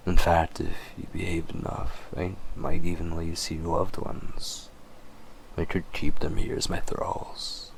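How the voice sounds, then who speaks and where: A possessive, menacing male vampire, played by a man in an audio roleplay, speaking in a very low register at an unhurried pace. He is smug, teasing and condescending toward his prey. A faint layer of background noise sits under the voice. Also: vocal fry, low-quality recording. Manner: slow, teasing, smug, often chuckling. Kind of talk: dramatic character audio roleplay.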